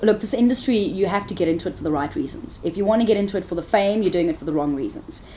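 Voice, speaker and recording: A woman's voice: fairly low pitch, expressive, very fast, mellow, slight background noise, poor audio. Frank woman presenter. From South Africa. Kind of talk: candid interview answers.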